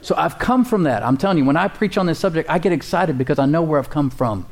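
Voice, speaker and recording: A man's voice: fairly high pitch, expressive, quick pace, a little mellow. A male pastor preaching a sermon in a conversational, emphatic way, repeating words and chuckling now and then.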